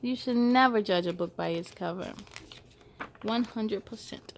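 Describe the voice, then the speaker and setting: A woman reading a picture book aloud to children in an animated, playful way, adding chatty little asides. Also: medium pitch, unhurried, a little hiss or hum. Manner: playful, giggly, animated storytelling delivery.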